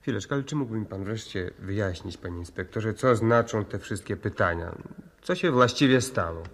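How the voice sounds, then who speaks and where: An actor playing a reserved, cautious scientist in a radio drama, polite, guarded and a little hesitant. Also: male voice, fairly low pitch, expressive, moderate pace, fairly bright tone. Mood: puzzled. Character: reserved, cautious male scientist, a research institute doctor. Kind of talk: 1960s Polish radio drama acting.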